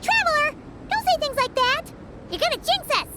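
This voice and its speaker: A woman voicing a tiny, cheeky floating fairy companion in a video game, childlike and excitable, talking about herself in the third person. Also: moderate pace. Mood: indignant. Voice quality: squeaky, childlike. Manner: talks about self in third person, exclamatory.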